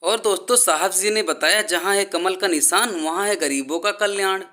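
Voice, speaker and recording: High-voiced man narrating satire over noise. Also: normal speed. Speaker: host of a political satire video.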